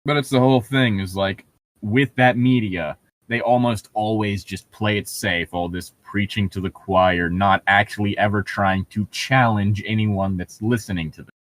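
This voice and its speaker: A man's voice: on the low side, steady tone, moderate pace, fairly bright tone, rough recording. A man in a casual, opinionated chat, talking in a rambling, sweary way.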